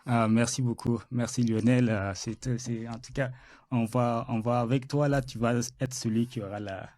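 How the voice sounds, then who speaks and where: A man's voice: mid-range voice, mellow, no echo. A man hosting an interview podcast, speaking hesitantly with many filler sounds and restarts. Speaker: podcast host.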